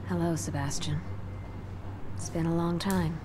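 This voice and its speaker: An actress voicing a composed, secretive female agent in a video game cutscene, speaking calmly and in control. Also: on the low side, measured pace, background noise, lightly acted. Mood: cool. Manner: calm, controlled.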